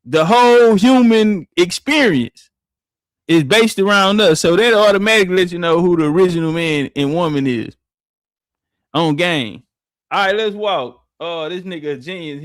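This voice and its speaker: A man's voice: on the high side, moderate pace, no echo, studio quality. A man giving brash, slangy, mocking reaction commentary straight to his viewers. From Southern United States. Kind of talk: casual profane reaction commentary.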